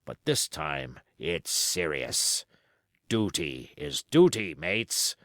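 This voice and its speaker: A male reader puts on a commanding, crafty pirate sea cook from an adventure storybook. He speaks slowly in folksy sailor grammar, weighing his words, and his pitch rises and dips widely in a dry, close recording. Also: sing-song, fairly bright tone, dry recording, heavily acted. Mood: cold, menacing.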